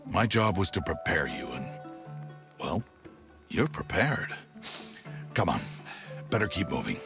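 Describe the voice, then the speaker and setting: An actor playing a proud, fatherly mentor in an animated video game, earnest and tender with a gently comic self-awareness. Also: low-pitched, a little slow, gravelly, over music, heavily acted.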